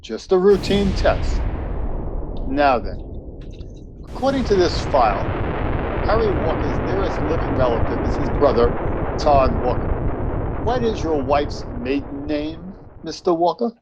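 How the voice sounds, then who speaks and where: An actor playing a firm, procedural military security officer in a radio drama, formal, brisk and husky. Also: male voice, a little high, sing-song, heavy background noise. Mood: firm, courteous.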